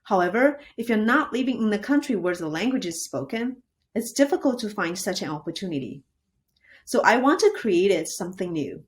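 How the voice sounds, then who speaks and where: A woman who founded a language school, giving an earnest, clear, rehearsed pitch. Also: big pitch swings, moderate pace.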